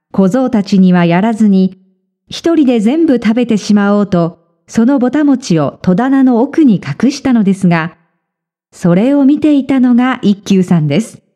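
A woman narrating a children's folktale in a measured, clearly phrased scripted style. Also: a little high, lively intonation, mellow. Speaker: storyteller.

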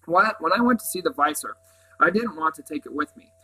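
A man voicing a schoolgirl in an audiobook, excitable yet sensible and earnest. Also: fairly high pitch, brisk, husky, heavily acted, poor audio. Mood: babbling relief. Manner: earnest, babbling when excited. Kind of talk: audiobook character voice.

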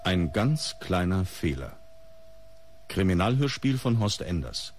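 A man with a husky voice reading radio drama credits as a formal, deliberate announcer. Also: deep voice, sing-song, slight background noise.

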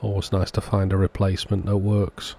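A man's voice: low-pitched, completely flat delivery, a little fast, gravelly, dark tone. A man giving an informal, matter-of-fact voice-over, explaining a hands-on repair step by step as the host. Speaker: host of a radio repair channel. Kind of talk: informal scripted-sounding voice-over narration of a repair.

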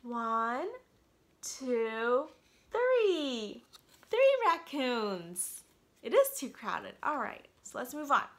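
A woman's voice: on the high side, extremely slow. A woman in her thirties reading a picture book aloud interactively, putting questions straight to young children. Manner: animated, questioning, addresses the child directly. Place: small quiet room at home.

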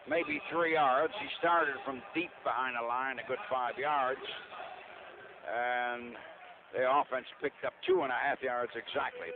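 A man doing live radio play-by-play of American football, partisan and speaking in terse bursts. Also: medium pitch. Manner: partisan, terse bursts, says "we" for the home team. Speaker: play-by-play sports announcer. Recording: tinny band-limited radio broadcast, noisy.

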